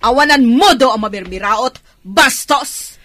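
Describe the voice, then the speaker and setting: Snobby rich filipino mom, sharp high voice, snapping, swoops a lot, radio drama. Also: female voice, lively intonation, a little slow, no echo. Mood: indignant. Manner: scornful, snapping. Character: haughty rich mother, quick to insult the poor.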